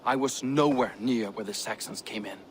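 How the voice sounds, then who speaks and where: An actor playing a proud, honourable Viking warrior in a video game, speaking earnestly and formally. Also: male voice, lowish voice, moderate pace, slight background noise, natural voice. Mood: offended. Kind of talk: video game cutscene acting.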